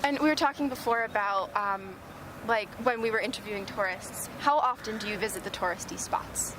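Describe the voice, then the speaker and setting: A woman's voice: medium pitch, brisk. A young woman interviewing people on the street, asking her questions hesitantly with many fillers and restarts. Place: outdoors on a busy city street. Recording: street traffic noise behind.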